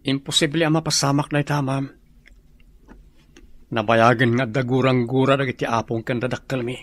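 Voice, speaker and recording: A man's voice: medium pitch, steady tone, moderate pace, lightly acted. A man acting an earnest, respectful young student and hopeful suitor in a radio drama.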